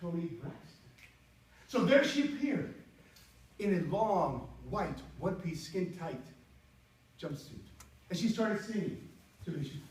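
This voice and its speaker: A man in his sixties giving an animated, humorous speech to an audience from a stage, now and then breaking into bits of song. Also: high-pitched, a little slow, long pauses. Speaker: contest speaker. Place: auditorium stage before an audience. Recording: echoey hall from far off, faint hiss.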